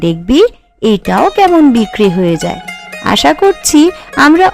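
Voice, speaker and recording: High-voiced, earnest young daughter-in-law played by a woman. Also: sing-song, measured pace, vocal fry, soft background music, full character voice. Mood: hopeful. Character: young daughter-in-law, deferential, hopeful, secretly enterprising. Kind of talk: Bengali cartoon voice acting.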